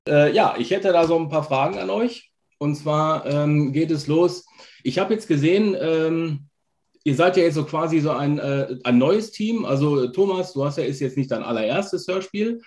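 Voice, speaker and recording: A man's voice: on the high side, moderate pace, darkish tone. A man hosting a casual interview over a video call, asking questions conversationally. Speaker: interviewer, host. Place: indoor room, video call.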